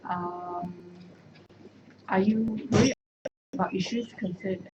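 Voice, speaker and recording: A woman's voice: medium pitch, fairly even intonation, slow pace, highs cut off, noisy background. A woman reporter politely and hesitantly asking questions at a press conference.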